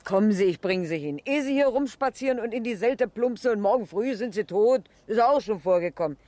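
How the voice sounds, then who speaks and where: An actress playing a talkative, brisk small-town station attendant in a colloquial 1970s German radio drama. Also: female voice, mid-range voice, expressive, dark tone, no echo, close to an everyday voice. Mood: concerned, bossy. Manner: chatty, folksy, blunt.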